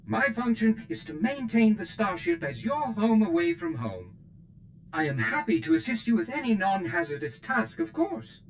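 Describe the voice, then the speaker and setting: A servile steward droid in a video game, prim, chirpy and desperate to please. The voice sits high and leaps wildly up and down as he fusses over every detail, with a faint hiss underneath. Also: very animated, normal speed, poor audio. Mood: eager servile. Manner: obsequious, formal and chirpy. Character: fussy male steward droid, eager to serve.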